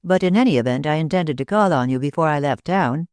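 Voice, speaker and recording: A reader voicing a dry, satirical young gentleman. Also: mid-range voice, fast talker, dry recording, close to an everyday voice.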